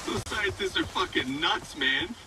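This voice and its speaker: An actor playing a crude, mocking mercenary who is itching for trouble in a video game. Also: male voice, sits high, far from the mic, noisy background, full character voice.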